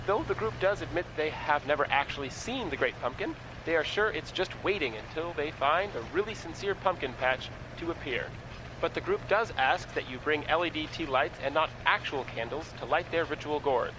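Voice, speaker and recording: A man's voice: fairly high pitch, expressive, normal speed, noisy background. A man reading a comic news item with a dry, mock-serious delivery. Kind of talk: deadpan comic news bit.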